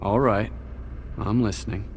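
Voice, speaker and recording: An actor playing a terse, guarded, businesslike lone mercenary in a video game. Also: male voice, lowish voice, steady tone, unhurried, natural voice. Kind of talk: video game character dialogue. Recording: roomy echo, faint noise.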